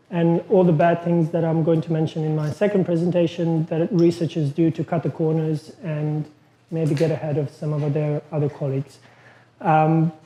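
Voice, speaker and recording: A man's voice: a little high, mellow. A man in his thirties from Croatia, not a native English speaker, giving a loose, chatty conference talk as a lecturer. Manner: chatty, loose phrasing with fillers. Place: lecture room. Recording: echoey lecture room.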